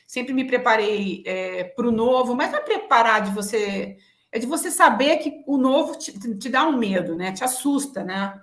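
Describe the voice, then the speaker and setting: A woman's voice: on the high side, animated, moderate pace. A Brazilian woman in her forties talking frankly and self-assuredly about herself as an interview guest, often checking in with short tags. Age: 40s. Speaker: interview guest, business executive. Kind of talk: conversational interview, personal reflection. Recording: roomy, echoing sound.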